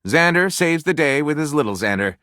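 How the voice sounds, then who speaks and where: A man's voice: a little high, animated, fairly quick, pushed and bright, dry recording, clean studio sound. A man voicing a grandiose, lewd, self-satisfied storyteller character in a video game, with wry, sarcastic asides. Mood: playfully pompous.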